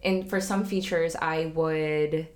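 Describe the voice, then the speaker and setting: A young woman with a slightly low-pitched voice chats casually on a podcast. Her first language is Cantonese, and she talks with many fillers, restarts and easy laughter. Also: fairly even intonation, slow pace. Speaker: podcast guest. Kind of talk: casual chat.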